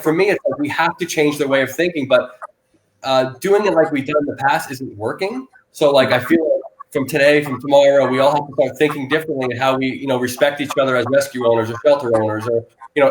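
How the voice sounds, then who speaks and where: Fast-rambling man on an echoey live stream. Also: medium pitch, slight background noise. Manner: rapid rambling with many restarts and fillers; loud, self-described loud person. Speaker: host of an animal rescue live stream. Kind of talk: casual live-stream interview.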